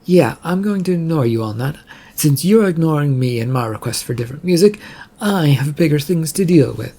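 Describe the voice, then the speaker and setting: Male narrator as stubborn woman ship engineer in audio fiction, creaky, jumpy and grumbling. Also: a little high, expressive, moderate pace, dark tone. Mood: exasperated. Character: female ship's engineer, jumpy, exasperated, stubborn.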